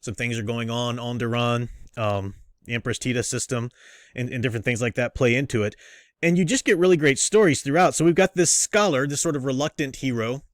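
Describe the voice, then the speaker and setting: A man's voice: medium pitch, brisk, no room sound, studio quality. A man hosting a casual review, explaining things in an enthusiastic, emphatic, teacherly way. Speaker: host and book reviewer. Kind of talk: casual comic review commentary.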